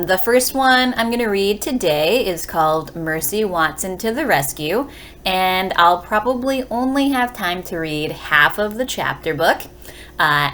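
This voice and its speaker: A woman's voice: fairly low pitch, fairly bright tone, a little hiss or hum. A woman teacher giving a read-aloud lesson for young children, explaining and reading as she speaks to them directly. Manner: addresses young listeners directly with simple questions. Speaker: elementary school teacher.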